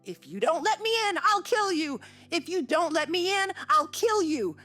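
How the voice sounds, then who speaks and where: A woman's voice: on the low side, very animated, a little slow, faint music behind. A woman voicing a sickly, petulant young man who pleads weakly and shrieks in frantic rages. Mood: shrieking fury. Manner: weak and pleading, then shrieking.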